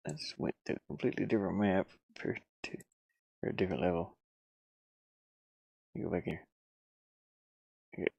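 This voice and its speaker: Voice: low voice, vocal fry, slightly rough, no room sound. A person giving casual, unscripted commentary while working on their own game, often muttering to themselves as they go. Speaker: indie game developer showing own game in progress.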